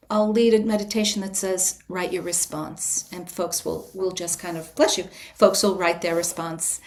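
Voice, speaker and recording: A woman guest on a podcast interview, a writer and retreat leader, explaining her approach in a calm, invitational, measured way with frequent restarts. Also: on the low side. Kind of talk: reflective interview answer.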